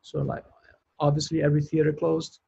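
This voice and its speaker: A man's voice: fairly high pitch, monotone, brisk, creaky, warm tone, breathy edge, lo-fi sound. A man with a Brazilian accent, a sound engineer, telling his story in a casual interview and rambling with many restarts. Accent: Brazilian Portuguese. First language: Portuguese.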